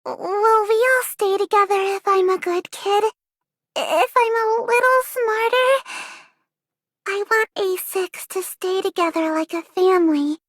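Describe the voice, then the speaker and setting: A woman's voice: very high-pitched, a little slow, fairly bright tone. A woman voicing an earnest little girl who is eager to please, for a video game. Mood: pleading, hopeful. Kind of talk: video game character voice lines.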